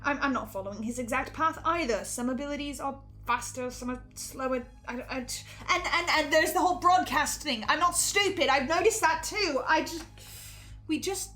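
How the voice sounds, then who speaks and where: A woman's voice: high-pitched, normal speed, sharp tone. A woman in an in-character panel discussion, speaking in a hesitant, stammering, defensive way. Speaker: podcast panelist in character as a researcher. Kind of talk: semi-improvised in-character discussion of a horror audio drama. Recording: music playing behind the voice. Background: music.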